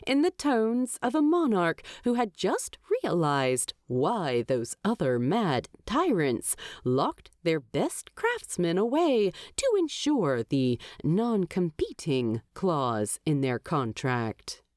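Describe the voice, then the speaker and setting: A woman narrator reads fantasy fiction at a slow, measured pace. Her voice sits a little low and moves widely in pitch, with a wry storyteller's manner. The recording is dry. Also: wildly swooping pitch, a little mellow, no echo. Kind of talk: expressive audiobook narration of fan fiction.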